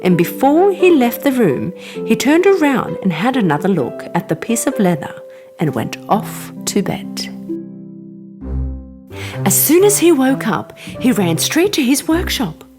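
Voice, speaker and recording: A woman reading a scripted bedtime story for children in an expressive, animated storytelling manner. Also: fairly high pitch, unhurried. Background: music.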